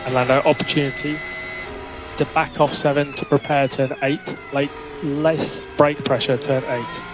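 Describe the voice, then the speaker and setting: A man working as a race engineer, giving measured, clipped instructions over team radio and repeating key calls. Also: mid-range voice, flat delivery. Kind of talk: instructional radio messages to a racing driver. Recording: noisy motor racing team radio.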